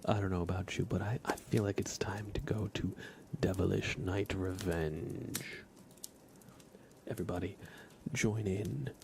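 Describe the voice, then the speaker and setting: A man, hosting an ASMR livestream in a gentle, unhurried way and talking directly to his chat. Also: deep voice, creaky, background noise. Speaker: livestream host. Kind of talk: ASMR livestream, whispered and soft-spoken.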